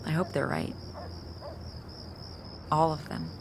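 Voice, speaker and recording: A woman playing a grieving, wry hospice nurse in a naturalistic audio drama, confiding in a halting, candid way. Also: sits low, sing-song, vocal fry, a little hiss or hum, exaggerated voice. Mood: wry, soft. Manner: halting, confiding, dry-humored.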